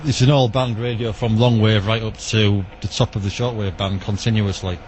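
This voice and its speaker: A man's voice: on the low side, steady tone, normal speed, a little mellow. A British man, a radio hobbyist, being interviewed informally in a matter-of-fact, explanatory way. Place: outdoors in an urban back alley. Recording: noisy outdoor location with radio sound.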